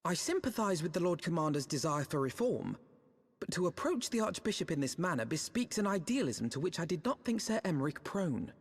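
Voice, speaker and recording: Husky, doubtful retainer, echoing hall. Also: male voice, a little high, big pitch swings, brisk. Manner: deferential, questioning. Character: cautious, doubtful knightly retainer. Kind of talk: formal archaic fantasy game dialogue. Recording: long hall reverb.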